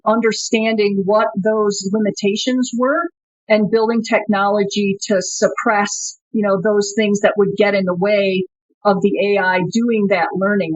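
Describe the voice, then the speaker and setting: A woman's voice: medium pitch, no echo. A woman guest on an interview show talks through her answers thoughtfully, with frequent fillers and restarts. Her audio is dry and a little dull, like a remote connection. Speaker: company co-founder, guest.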